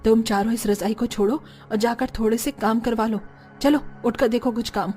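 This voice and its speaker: A woman's voice: fairly high pitch, fairly even intonation, normal speed, dark tone, faint music behind, natural voice. A woman voicing a stern middle-aged mother in a cartoon, scolding as she speaks. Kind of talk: Hindi cartoon dialogue acting.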